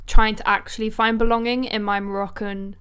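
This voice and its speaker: Woman just chatting on a podcast, clean smooth voice, slangy and thoughtful. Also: medium pitch, little pitch movement, fairly quick, a bit bright. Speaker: podcast co-host. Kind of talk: casual conversational discussion.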